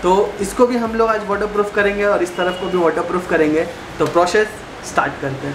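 Chatty young man, roomy noisy recording. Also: high voice. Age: young adult. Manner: chatty, explains step by step to viewers. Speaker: motovlogger. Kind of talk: casual how-to tutorial. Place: small room indoors at a desk. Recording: roomy with clear background noise.

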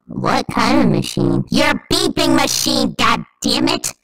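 A woman's voice: medium pitch, wildly swooping pitch, slow pace, creaky, pitched above the performer's own voice, exaggerated voice, rough recording. A woman voicing a seething cartoon pony who snaps and loses her temper, in a voice-changer skit. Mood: angry.

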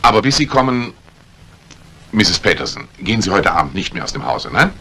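Deep-voiced commanding police inspector. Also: male voice, sing-song, sharp tone, exaggerated voice. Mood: grave, determined. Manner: probing, commanding, deliberate. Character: brusque, authoritative middle-aged male police detective inspector. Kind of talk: 1950s German radio crime drama acting. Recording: noisy vintage 1950s radio drama recording.

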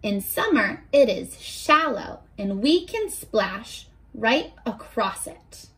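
High, lively young woman reading to children. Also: takes it slowly, poor audio. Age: 20s. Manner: expressive, clearly addressing young children. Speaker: teacher reading a picture book aloud. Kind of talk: children's read-aloud with simple questions to listeners. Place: small furnished bedroom, indoors.

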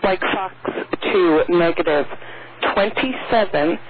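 A woman's voice: lowish voice, takes it slowly, phone-quality sound. A woman giving instructions as an air traffic controller over aviation radio.

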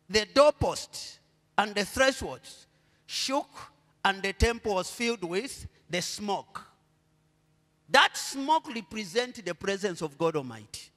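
A middle-aged Zambian man preaching a sermon on a church stage into a handheld mic. He asks the congregation to finish his sentences and swings between quiet murmurs and loud, drawn-out proclamations. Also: high voice, in a large hall. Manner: preaching with call-and-response questions to the congregation. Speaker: pastor.

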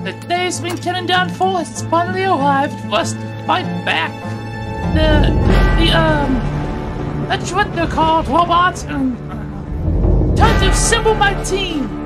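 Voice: very high-pitched, measured pace. A woman voicing a bossy, exasperated leader of a cartoon fighting team, pleading and exclaiming, in an amateur comedy dub. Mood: reluctant, exasperated. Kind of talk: amateur comedy fan dub of an animated short. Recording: loud music behind voice.

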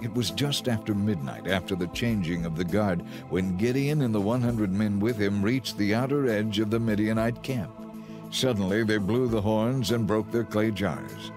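Male narrator for dramatized Bible audiobook: lowish voice, measured scripted storytelling, soft music bed.

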